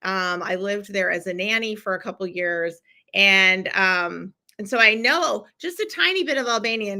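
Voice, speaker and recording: A middle-aged woman hosts a live stream, talking straight to her viewers in a chatty, encouraging way. Her voice is bright and a little tense, and her pitch sits in a middle range. She keeps filling pauses with ums and restarts as she reads comments. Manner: chatty and encouraging, with many fillers. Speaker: podcast host running a live challenge. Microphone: streaming from a computer.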